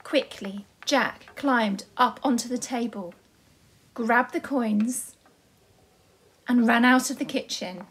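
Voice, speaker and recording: A woman storyteller reading a tale for nursery children. Her voice is fairly high and a little husky, and she goes slowly, with simple and deliberate phrasing. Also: a bit bright. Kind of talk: scripted children's story narration.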